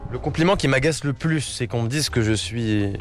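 Male interviewer with brisk prompts. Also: mid-range voice, faint music behind. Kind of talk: quick-fire interview questions.

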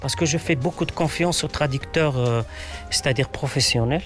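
A man's voice: on the high side, moderate pace, a bit bright, faint music behind. A man from Tangier, Morocco, whose first language is Arabic, answering interview questions in French with many fillers and restarts. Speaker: interview guest, writer.

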